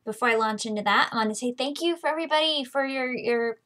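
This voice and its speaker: A woman's voice: high voice, fast, fairly bright tone. An American woman with an informal, conversational way of talking, hosting a book reading video series. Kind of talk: casual chatty vlog, then reading a novel aloud.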